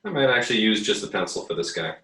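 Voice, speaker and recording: A man giving a casual drawing tutorial as an art teacher, thinking aloud in a halting, muttering way while he works. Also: lowish voice, lively intonation, sharp tone. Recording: roomy echo, distant microphone.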